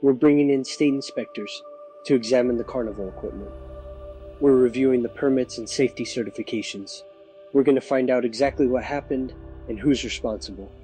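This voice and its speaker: A man plays a small-town sheriff with a soft, mellow voice. He speaks in a measured, official way, reassuring the public in an audio drama news interview, with music playing behind him. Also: medium pitch, close to an everyday voice. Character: composed small-town male sheriff giving an official statement.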